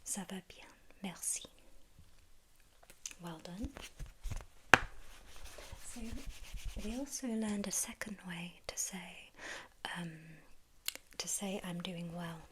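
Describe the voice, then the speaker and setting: A woman whispering a gentle ASMR French lesson, patient and encouraging, repeating each word slowly. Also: lowish voice, background noise. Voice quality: soft whisper. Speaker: French tutor.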